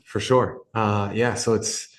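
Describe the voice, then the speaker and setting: A man's voice: on the low side, little pitch movement, takes it slowly. A man in his thirties from Ireland, with an Irish accent mixed with American, talking casually as a podcast guest about his work in sports nutrition. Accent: Irish with some American. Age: 30s. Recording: echoey room.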